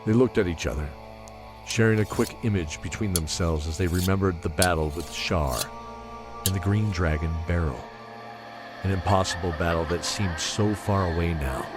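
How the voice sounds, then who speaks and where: A man reading scripted fantasy narration in a measured, solemn storyteller's manner. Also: low-pitched.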